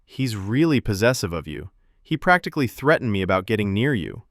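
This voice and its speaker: A man's voice: on the low side, big pitch swings, a little fast, no room sound, studio quality. Man playing Chris, easygoing teasing young guy. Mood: probing.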